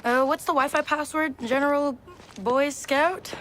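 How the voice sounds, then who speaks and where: A woman's voice: high voice, steady tone, unhurried, a bit bright, a little hiss or hum. A woman playing a sassy, defiant eighteen-year-old daughter in a video game, talking in casual, sarcastic slang. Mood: breezy. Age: young adult. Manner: sarcastic, exasperated, teenage slangy delivery.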